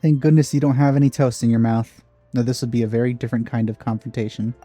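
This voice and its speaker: A man's voice: medium pitch, little pitch movement, quick pace, warm tone, dry recording, soft background music. A man giving casual, wry commentary over a game he is playing. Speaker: let's play commentator.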